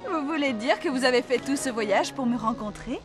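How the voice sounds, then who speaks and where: A woman playing a spirited, imperious young princess in a fairy-tale cartoon, speaking in a theatrical, expressive way. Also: sits high, a little fast, over music, close to an everyday voice. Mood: haughty. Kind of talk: cartoon voice acting.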